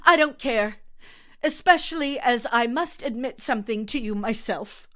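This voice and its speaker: A woman's voice: a little high, moderate pace, bright, forceful tone, pitched above the performer's own voice. A woman reading a short story, voicing a volatile lovelorn woman who is coy, haughty and theatrical. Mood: cold, haughty. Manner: theatrical, swinging between coy and fierce.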